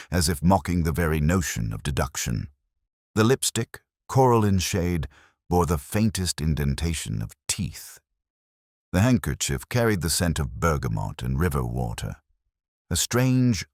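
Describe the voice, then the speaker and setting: Very deep-voiced brooding detective played by a man. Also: dry recording, clean studio sound, heavily acted. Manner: measured, reflective, melancholy. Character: brooding, literary male police detective narrating his case. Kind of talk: slow scripted first-person mystery narration.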